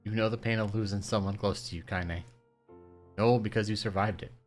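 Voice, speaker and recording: A vulgar, quick-tempered old grandmother who barks her words bluntly and loudly, as a man puts her on. Her gruff voice carries real force. Faint music sits beneath the story. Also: lowish voice, steady tone, natural voice. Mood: stern.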